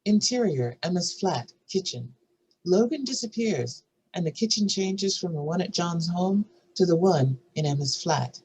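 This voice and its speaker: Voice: mid-range voice, expressive, a little slow, darkish tone, breathy edge, poor audio. A narrator reading screenplay stage directions aloud as scripted narration.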